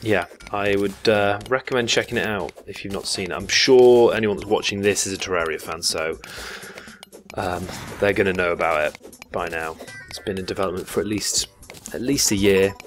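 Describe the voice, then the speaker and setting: A man's voice: sits low, normal speed, soft background music. A man from England giving rambling, casual commentary while playing a video game, swearing now and then. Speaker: gaming YouTuber. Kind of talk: casual let's play commentary.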